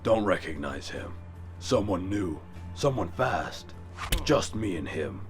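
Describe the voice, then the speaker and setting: A man playing a brooding, guarded vigilante in an audio drama, terse and controlled with a gravelly voice. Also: sing-song, slow pace, soft background music, full character voice. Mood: tense, calculating. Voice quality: low, gravelly.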